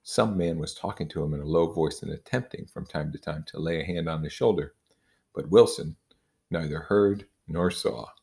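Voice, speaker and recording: A man with a low, creaky voice reads literary fiction aloud. His delivery is measured and informal, with some phrases given extra weight. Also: darkish tone. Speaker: reader. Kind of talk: reading a novel aloud with informal comments.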